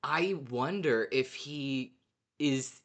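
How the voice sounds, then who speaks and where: A podcast co-host of unclear gender, chatting casually as a fan in a giggly, excitable way. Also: mid-range voice, extremely slow. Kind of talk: casual fan discussion of an audio drama.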